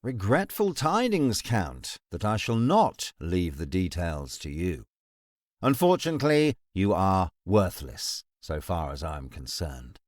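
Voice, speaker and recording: Cold all-knowing ruler for fantasy audiobook , male actor: cutting, deliberate, formal, widely varied intonation, close dry sound. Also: sits low, sing-song, no echo, full character voice. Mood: disdainful. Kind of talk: full-cast fantasy audiobook acting.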